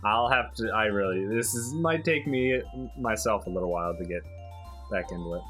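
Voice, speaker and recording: A man's voice: lowish voice, moderate pace, fairly bright tone, faint music behind. A man giving casual, chatty game commentary and explaining things like a fan. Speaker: let's play host.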